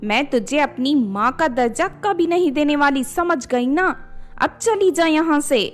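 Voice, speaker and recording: A woman's voice: high-pitched, animated, a little slow, soft background music. A woman voicing a spiteful, dramatic young stepdaughter in a cartoon moral story. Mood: angry, scornful. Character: spiteful, selfish young stepdaughter, hot-tempered, later panicked.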